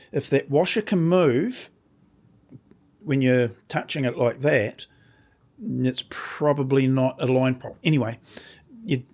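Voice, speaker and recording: Slow-talking, slightly croaky New man. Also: medium pitch, darkish tone. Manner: rambling, restarts sentences while explaining. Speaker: woodworking channel host. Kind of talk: casual workshop demonstration.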